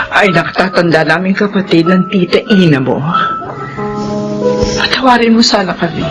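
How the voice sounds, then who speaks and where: A woman's voice: medium pitch, lightly acted, rough recording. An actress playing an emotional middle-aged mother in a Filipino radio drama, speaking tenderly with a Filipino accent. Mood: tearful, pleading. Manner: tender, tearful, heartfelt. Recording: music bed under the voice.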